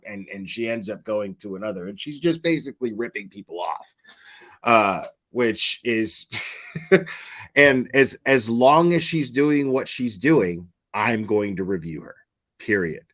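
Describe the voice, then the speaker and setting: A man hosting a late-night livestream. His speech is unhurried, profane and meandering, with mid-range pitch. He drifts through fillers and speaks into a home streaming mic. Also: fairly bright tone. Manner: blunt, profane, rambling with fillers. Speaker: commentary channel host. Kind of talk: casual livestream commentary.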